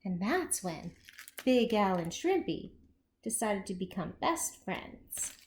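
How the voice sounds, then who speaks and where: A woman reading a children's story aloud as a teacher would, expressively and with deliberate phrasing for young listeners. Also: medium pitch, a little hiss or hum. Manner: animated, slow, deliberate, with questions to young listeners.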